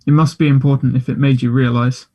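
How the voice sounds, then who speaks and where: A man playing a guarded but gentle, softly teasing young man in a play table read, answering briefly and with reserve. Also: medium pitch, flat delivery, quick pace, lightly acted. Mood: guarded, questioning.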